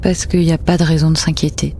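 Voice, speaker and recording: A woman's voice: low-pitched, little pitch movement, fast talker, mellow, a little hiss or hum. A woman acting as an uneasy, guarded mother in an audio drama, speaking naturally in a subdued, hesitant way. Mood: soothing, evasive. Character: uneasy, guarded young mother leaving home with her child.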